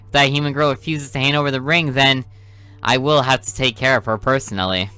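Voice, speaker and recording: A man voicing a cold, menacing alien being in a visual novel, speaking slowly and threateningly. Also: mid-range voice, steady tone, pushed and bright, smooth, no echo, lightly acted.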